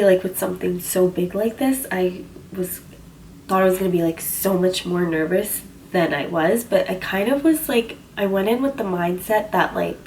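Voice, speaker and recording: A young woman with a cold, sounding stuffy and slightly husky, chatting casually on a vlog with lots of fillers. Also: medium pitch. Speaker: vlogger. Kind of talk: casual storytelling chat. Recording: voice a bit far from the mic, faint background hiss.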